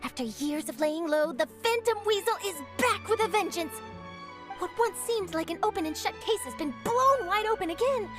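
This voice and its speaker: A woman playing an eager young journalist chasing a scoop, brisk, upbeat and theatrical. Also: very high voice, lively intonation. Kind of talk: video game voice acting. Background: game score music.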